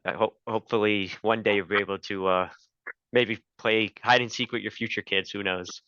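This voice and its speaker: Man, lowish bright voice, clear, conversational podcast host, dry slightly lo-fi sound. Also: steady tone, normal speed, no echo. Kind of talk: conversational interviewing.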